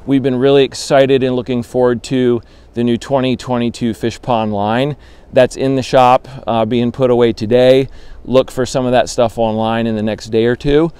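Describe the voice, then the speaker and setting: A man in his thirties giving a casual fishing report from a raft on a windy river, talking in a relaxed, easygoing way with plenty of ums, recorded by a camera a few feet away. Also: mid-range voice, moderate pace, smooth. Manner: relaxed and conversational, many ums. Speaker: fly shop guide giving a fishing report. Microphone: camera at the bow, a few feet away.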